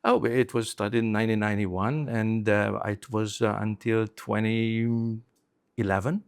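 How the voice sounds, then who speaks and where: A man's voice: fairly low pitch, fairly even intonation, unhurried, vocal fry, smooth. A middle-aged man with a Hungarian accent, speaking conversationally as an interview guest. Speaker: interview guest, former telecom executive.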